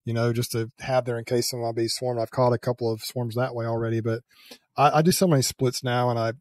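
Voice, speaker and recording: A man's voice: mid-range voice, fairly even intonation, fast talker, no room sound. An older man hosting a beekeeping interview over a video call, talking in a rambling, self-correcting way with small chuckles. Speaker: beekeeping channel host. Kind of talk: casual interview chat. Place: home room with a headset mic. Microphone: headset with a boom mic, video call.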